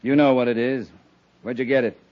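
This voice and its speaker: An actor playing a stern, principled cavalry captain with measured authority and dry humor in a 1950s radio drama. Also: male voice, medium pitch, steady tone, lightly acted. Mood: stern, probing. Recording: muffled, noisy 1950s radio recording.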